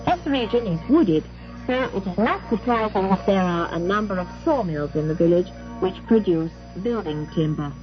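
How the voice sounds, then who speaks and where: A woman presents a scripted 1960s radio broadcast in a formal, carefully enunciated way. Her voice sits at a medium pitch. The recording is a dull, lo-fi shortwave capture with clear music behind it. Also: animated, normal speed, hardly any pauses. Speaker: radio announcer and program host.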